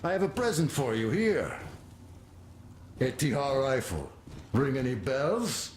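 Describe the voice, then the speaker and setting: A man's voice: mid-range voice, sing-song, unhurried, roomy sound, slight background noise. An actor playing a proud, friendly male weapons tinkerer, explaining with hearty enthusiasm in a video game.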